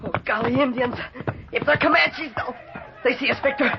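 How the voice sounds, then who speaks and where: Excitable high-voiced boy. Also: moderate pace, vocal fry. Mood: urgent, frightened. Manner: excitable, earnest. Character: eager, plucky boy sidekick in a western. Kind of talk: old-time radio western acting. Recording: muffled, noisy old radio recording.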